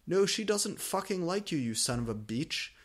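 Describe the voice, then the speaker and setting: A jealous, hot-headed teenage goth boy played by a man, yelling in a high, whiny pitch. He squabbles like a sulky rival in a comic storybook reading. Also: big pitch swings, moderate pace, breathy edge. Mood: jealous, angry.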